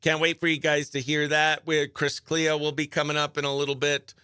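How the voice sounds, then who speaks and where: A man's voice: on the high side, completely flat delivery, a little fast, bright, forceful tone, clear, resonant tone, no room sound, clean studio sound. A man chatting as a morning radio show host, upbeat and talkative, sometimes muttering to himself as he works. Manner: upbeat, chatty, mutters to self while working. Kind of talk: behind-the-scenes radio chat. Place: radio studio.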